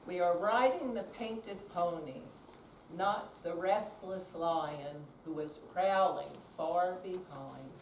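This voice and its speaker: Older female stage reader, unhurried, distant mic, faint room noise. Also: lowish voice, reverberant. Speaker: readers theater performer. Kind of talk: slow dramatic reading in live performance.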